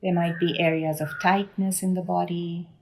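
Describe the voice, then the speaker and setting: A woman leading a guided meditation in a gentle, unhurried manner with deliberate pauses between phrases. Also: fairly low pitch, level tone, slight background noise. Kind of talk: guided body scan meditation.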